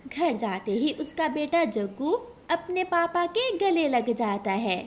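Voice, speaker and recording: Casting: female storyteller for children's cartoon, high voice, very animated intonation. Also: slow pace. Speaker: story narrator. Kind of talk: scripted children's cartoon narration in Hindi.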